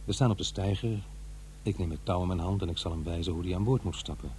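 A man's voice: deep voice, fast talker, mellow, slight background noise, close to an everyday voice. An actor playing a middle-aged divorced father who muses wryly over his memories in a radio drama. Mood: nostalgic, puzzled. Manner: musing, hesitant, talking to himself. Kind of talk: radio drama monologue, conversational and introspective.

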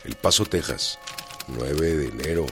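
An actor with a Mexican accent playing a proud, blunt revolutionary in a radio drama, speaking emphatically. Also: male voice, rumbling low, measured pace, music in the background. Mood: earnest, urgent.

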